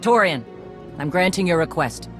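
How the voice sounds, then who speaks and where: A woman's voice: fairly low pitch, animated, moderate pace, over music, natural voice. Woman playing a tough female warrior chief. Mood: firm, resolute. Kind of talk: video game acting.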